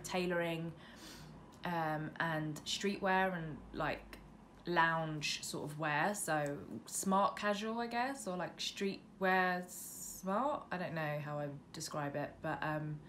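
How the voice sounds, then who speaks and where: A woman in her mid-twenties with a British accent, chatting casually to camera as a vlogger in an informal, self-deprecating way. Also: on the low side. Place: indoors, bedroom at a window facing a road. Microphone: camera on windowsill, about arm's length. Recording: noisy room by a busy road. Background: noise, passing traffic.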